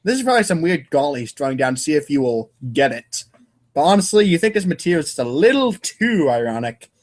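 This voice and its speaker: A man's voice: fairly high pitch, sing-song, fast. A man reading comic narration aloud in a casual, irreverent way, stumbling over lines now and then. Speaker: podcast co-host and reader.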